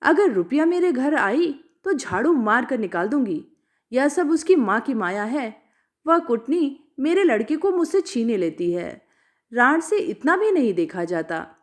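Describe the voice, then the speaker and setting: A woman's voice: high-pitched, big pitch swings, a little slow. A woman voicing a bitter, domineering older village mother in a story, scolding and accusing. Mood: angry, scolding.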